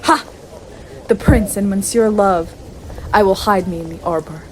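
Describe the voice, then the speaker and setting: A woman's voice: medium pitch, unhurried, lightly acted, poor audio. Female actor as witty, scornful soldier bachelor, Shakespearean radio drama, theatrical soliloquy, slight background noise. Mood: choosy, self-assured.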